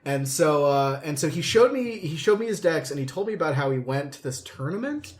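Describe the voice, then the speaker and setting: A man's voice: on the high side, expressive, brisk. A man co-hosting a casual podcast chat, telling anecdotes conversationally and swearing casually. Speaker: podcast co-host.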